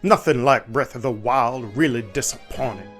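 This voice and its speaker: A man's voice: medium pitch, moderate pace, a bit bright. A man giving a dramatic, emphatic reading of negative game reviews in a displeased, exaggerated manner. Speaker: narrator. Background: background music.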